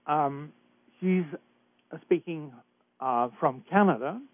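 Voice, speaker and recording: Retired British doctor as radio host; measured, emphatic delivery, a little halting; thin, dry phone-line sound. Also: male voice, fairly high pitch, dry recording. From Britain. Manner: measured, emphatic, some hesitations. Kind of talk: hosted talk radio introduction.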